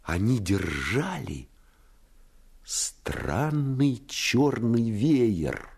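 An actor playing an earnest first-person narrator of a boyhood adventure in a radio play, expressive and suspenseful. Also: male voice, mid-range voice, very slow, creaky, a little hiss or hum, heavily acted. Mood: hushed suspense. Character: grown male narrator recalling his boyhood adventure, earnest.

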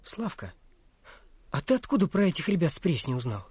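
An actor in a radio play voicing a brisk, bossy schoolboy ringleader with a teasing, challenging manner. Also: mid-range voice, very animated, darkish tone, slightly rough, dry recording. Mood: eager questioning. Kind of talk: Russian radio play acting.

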